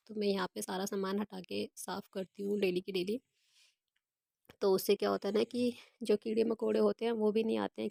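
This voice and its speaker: A young woman vlogger with a hoarse, gravelly voice from a cold and a dark, mellow tone. She talks in a flat, even way, explaining her kitchen chores step by step. The recording is dry and close. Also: mid-range voice, dry recording. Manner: chatty, explains her daily routine step by step. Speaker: home vlogger. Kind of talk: casual voice-over narration of home chores.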